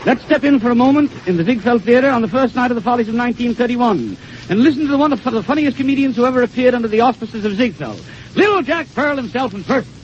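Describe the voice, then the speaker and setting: A man's voice: extremely high pitch, expressive, fast. A man working as an announcer, introducing an act in 1930s radio style. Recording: noisy, dull 1930s radio recording.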